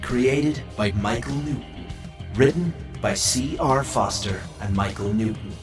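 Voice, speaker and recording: A man's voice: medium pitch, a little slow. A man reading a scripted credits announcement over guitar music, with a roomy, slightly distant sound.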